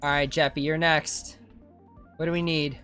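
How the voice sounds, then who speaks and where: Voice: medium pitch, level tone, moderate pace, sharp tone, smooth. A streamer giving casual, rambling, easygoing commentary while playing a video game. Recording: game music behind voice. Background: video game music.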